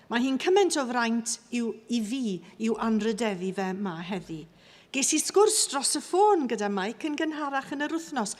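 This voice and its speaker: A woman with a Welsh accent giving a formal, stately ceremonial speech that presents an honorary graduate, moving between English and Welsh. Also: on the high side. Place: large ceremony hall. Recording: echoey large hall.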